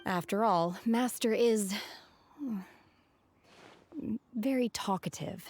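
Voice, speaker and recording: An actress playing a calm, reserved, earnest young woman with formal, measured wording in a video game. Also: medium pitch, no echo, soft background music. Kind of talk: video game dialogue acting.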